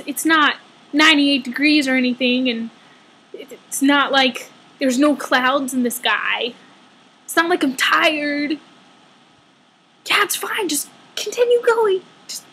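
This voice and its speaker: A woman's voice: sits high, a little slow, a bit bright, slight background noise, poor audio. A woman telling a personal story casually, dramatically acting out dialogue with a sarcastic edge.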